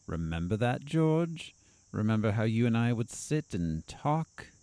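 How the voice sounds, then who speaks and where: An actor playing a sardonic asylum doctor in an audio drama, probing and needling in a confrontational way. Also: male voice, medium pitch, big pitch swings, measured pace, warm tone, clear, resonant tone, no room sound.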